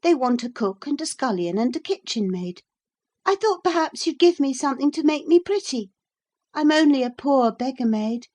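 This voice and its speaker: A woman's voice: sits high, expressive, normal speed, a little mellow, voice pushed up. A woman reading aloud, voicing a young princess disguised as a beggar maid, eager and pleading.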